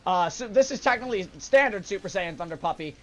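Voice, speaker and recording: A young man with a hoarse, sore-throated voice, casually commenting on a game he plays, with filler sounds. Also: high voice, lively intonation, fast. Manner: casual, upbeat explanatory, frequent "uh" fillers. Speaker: gaming channel host. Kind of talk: let's play commentary. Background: game music.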